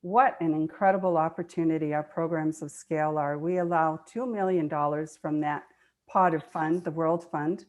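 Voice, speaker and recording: A woman's voice: low voice, fairly even intonation, normal speed, darkish tone. An older woman speaking conversationally as a guest in an online meeting, explaining things earnestly and repeating words for emphasis. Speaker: guest speaker, nonprofit foundation trustee.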